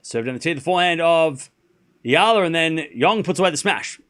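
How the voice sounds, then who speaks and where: A man with a light Australian accent calling tennis on a live stream, getting excited on rallies and muttering between points while answering chat. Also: fairly high pitch, fairly quick. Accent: Australian, softened. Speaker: streamer commentator. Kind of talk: live play-by-play commentary with chat.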